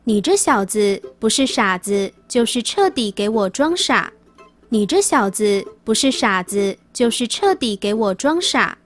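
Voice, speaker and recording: A woman giving a deliberate, scripted read-out of quiz sentences. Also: on the high side, very animated, soft background music. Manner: deliberate, repeats each sentence.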